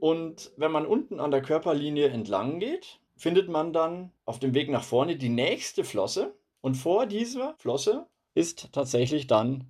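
A husky-voiced man, a biologist, explaining things to children in a plain, patient way. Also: on the high side, expressive, a little slow, hardly any pauses, darkish tone. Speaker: biologist and fish expert.

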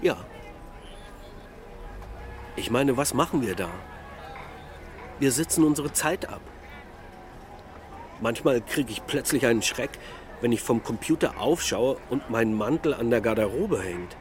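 A man's voice: medium pitch, lively intonation, unhurried, pauses often, close to an everyday voice. An actor playing a melancholic middle-aged husband and father in a naturalistic radio drama, musing reflectively in short sentences. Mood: musing, unsettled. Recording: loud urban traffic ambience.

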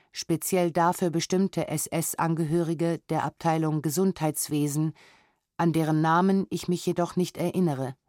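A woman reading a historical testimony as scripted narration in a measured, sober manner. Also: fairly low pitch, a little mellow, no room sound, clean studio sound. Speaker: reader of historical documents.

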